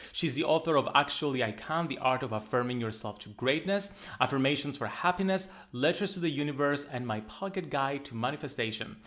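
A man's voice: on the high side, fairly bright tone. A man with a Greek accent hosting a podcast interview, reading an introduction and asking questions in an upbeat way. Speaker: podcast host.

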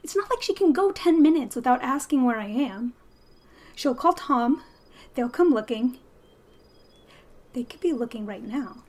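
A woman's voice: sits high, animated, a little slow, pauses often, a little mellow. An actress playing a frightened, sardonic woman lost alone in the desert, muttering to herself in an audio drama. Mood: wry, uneasy. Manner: muttering to herself, breaking into whispers and desperate calls.

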